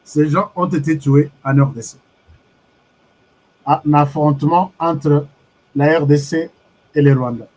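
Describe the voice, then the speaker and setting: A Congolese man with a husky voice gives an animated political commentary, switching between French and Lingala and reacting to viewer comments. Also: a little high, a little slow, long pauses, low-quality recording. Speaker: online commentator. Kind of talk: live-streamed political commentary.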